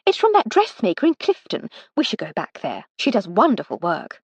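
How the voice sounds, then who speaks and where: A woman's voice: medium pitch, huge pitch swings, normal speed, husky, no room sound, highs cut off, exaggerated voice. A woman voicing a posh, teasing upper-class English lady who drawls with playful mockery. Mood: airy. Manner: arch, drawling and playfully mocking.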